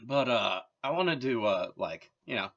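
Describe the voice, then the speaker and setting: A man's voice: mid-range voice, lively intonation, measured pace, fairly bright tone, highs cut off. A man from Massachusetts talking in a rambling, casual monologue, full of filler words and wry asides. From Massachusetts, USA. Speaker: vlogger.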